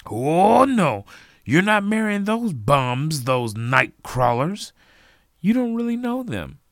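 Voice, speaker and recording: A man voicing a furious, stubborn feuding parent who shouts scornful, emphatic insults and threats in a told story. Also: mid-range voice, wildly swooping pitch, slow pace, no room sound, clean studio sound, voice pushed up. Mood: scornful, outraged.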